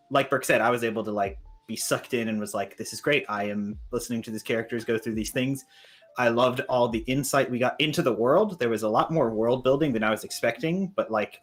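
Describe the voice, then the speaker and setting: A man's voice: medium pitch, a bit bright. A man giving a casual, conversational review as a panelist on a podcast. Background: background music.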